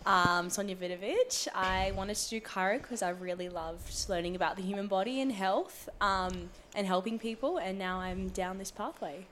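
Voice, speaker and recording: A woman's voice: mid-range voice, normal speed, few pauses, fairly bright tone, a little hiss or hum. A young woman giving casual answers as a student guest in an interview. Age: young adult. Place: radio studio.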